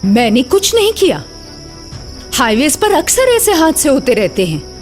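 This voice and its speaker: A woman's voice: sits high, huge pitch swings, unhurried, faint music behind, full character voice. A woman voicing a menacing, bossy ghost woman in a cartoon horror story, speaking in a commanding, insistent way. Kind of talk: Hindi animated horror story voice acting.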